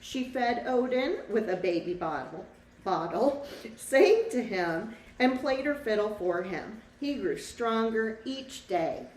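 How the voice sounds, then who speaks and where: A woman's voice: a little high, big pitch swings, slow, darkish tone. A woman teacher reading a picture book to children expressively, with simple, casual explanations along the way. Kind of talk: children's read-aloud with casual asides to the class. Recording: roomy echo, distant mic, faint background noise.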